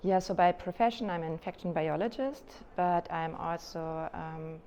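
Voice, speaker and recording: A woman's voice: fairly low pitch, normal speed, mellow. A woman giving fluent, reflective answers about her work in an informal interview. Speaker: infection biologist. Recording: muffled sound with background noise.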